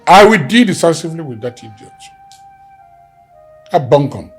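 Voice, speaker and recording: A man's voice: fairly high pitch, very animated, moderate pace, husky, faint music behind. A man playing an angry boss who scolds his staff for negligence in a comedy skit. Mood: stern. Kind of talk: comic skit acting.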